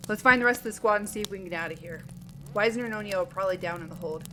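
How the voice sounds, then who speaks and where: A woman's voice: medium pitch, rapid-fire, bright, forceful tone, slight background noise, close to an everyday voice. A woman acting as a wounded, commanding and stoic Marine sergeant in an audio drama. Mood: steady, resolute.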